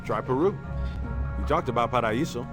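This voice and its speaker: A man's voice: mid-range voice, moderate pace, music in the background, lightly acted. The wisecracking, casual man on mission support in an adventure game, mid-range voice over the soundtrack, an actor's part. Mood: matter-of-fact. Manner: casual, sardonic. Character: casual, sardonic male tech-support sidekick. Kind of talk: video game voice acting.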